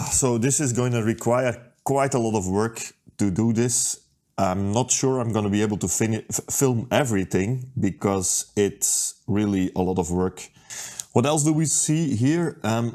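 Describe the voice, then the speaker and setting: A man talking through a hands-on repair at his workbench, unhurried and thinking aloud with plenty of ums and uhs. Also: mid-range voice, warm tone. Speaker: repair video host. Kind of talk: casual how-to narration.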